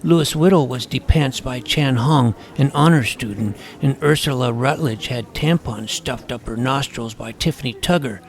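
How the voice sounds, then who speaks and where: An actor playing a nerdy, earnest junior high schoolboy in a comedic audio drama, talking in a precise, wordy way. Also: male voice, moderate pace, few pauses, lightly acted. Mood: earnest narrating. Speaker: narrator and lead character.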